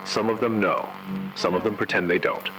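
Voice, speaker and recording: A man voicing a grave emergency announcer in an analog horror video, giving measured, solemn warnings. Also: fairly low pitch, steady tone, background noise, close to an everyday voice. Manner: measured, ominous, short solemn sentences. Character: grave male emergency broadcast announcer issuing eerie warnings. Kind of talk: analog horror scripted narration.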